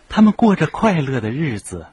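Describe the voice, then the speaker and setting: A man narrating a children's radio play in an expressive storybook style. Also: a little high, a little slow, crowd noise.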